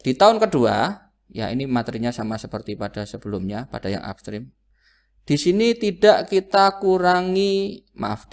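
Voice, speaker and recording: A man lecturing through worked calculations, didactic, often asking a question and then answering it himself. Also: fairly high pitch. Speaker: accounting lecturer.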